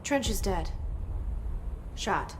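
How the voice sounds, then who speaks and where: A woman's voice: lively intonation, very slow. A woman acting a guarded, determined young agency director in a video game, terse and serious. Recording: noisy rumbling background.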